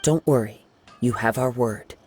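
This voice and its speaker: A calm young samurai, voiced by a man in a game, with a mid-range, gentle and polite delivery. Game music plays clearly behind him. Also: medium pitch, a little slow, lightly acted. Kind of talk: video game voice acting.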